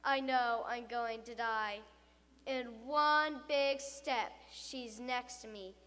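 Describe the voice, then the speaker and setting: A woman's voice: on the high side, takes it slowly. A woman playing a dramatic, frightened school pupil telling a tall tale in rhyming verse. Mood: panicked, doomed. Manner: dramatic, expressive storytelling. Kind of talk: rhyming comic monologue, theatrically recited. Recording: reverberant hall.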